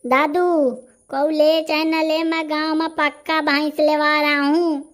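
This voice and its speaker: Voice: high-pitched, level tone, a little slow, a bit bright. An actor with a Bundelkhandi accent voicing a brash, argumentative village man haggling in a comedy cartoon. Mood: brash, impatient.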